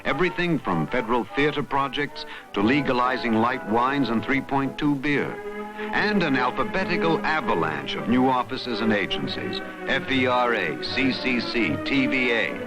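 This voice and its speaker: An American man narrating a vintage documentary in a dramatic, emphatic newsreel style with scripted lines. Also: medium pitch. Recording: vintage documentary soundtrack with loud music. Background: loud music.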